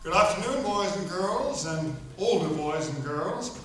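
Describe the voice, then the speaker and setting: A man's voice: high voice, lively intonation, unhurried, fairly bright tone. An actor playing a folksy children's author who narrates his story to kids on stage with playful, mock-serious asides. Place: live stage performance in a hall. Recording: echoey hall from far off, faint noise.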